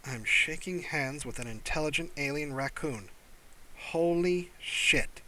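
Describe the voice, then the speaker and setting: A man's voice: fairly high pitch, unhurried, low-quality recording. A man voicing an exuberant, breezy female astronaut who is proud of her ship, in an audiobook. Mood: delighted, amazed. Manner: excitable, breezy. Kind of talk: audiobook character voice.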